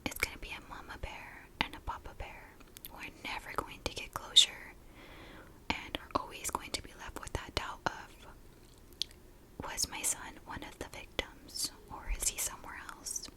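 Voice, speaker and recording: A woman's voice: moderate pace, bright, forceful tone, poor audio. A woman hosting an ASMR video, telling stories in a chatty, confiding and informal way. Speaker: ASMR host telling true crime while doing makeup.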